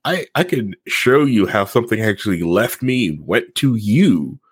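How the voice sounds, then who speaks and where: A man in a casual podcast chat as a co-host, talking in a halting way and often repeating words and phrases. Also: fairly low pitch, animated, breathy edge, dry recording.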